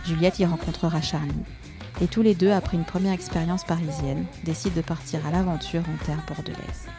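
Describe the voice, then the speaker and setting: A woman's voice: lowish voice, fairly even intonation, brisk, music in the background. A woman hosting a food podcast, reading an introduction and then asking questions in a curious, casual way. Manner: warm, curious questioning with fillers. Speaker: podcast host.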